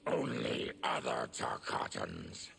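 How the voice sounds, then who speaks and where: An actor playing a grim, bitter monstrous outcast warrior in a video game, with a gravelly growl. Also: male voice, very deep voice, takes it slowly, a bit bright, slight background noise, full character voice.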